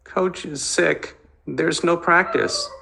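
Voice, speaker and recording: A man's voice: a little high, slow, gravelly, bright, forceful tone, pitched above the performer's own voice, exaggerated voice. A man voicing a frightened, tender-hearted little boy in a novel reading, with a plaintive delivery. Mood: suffering.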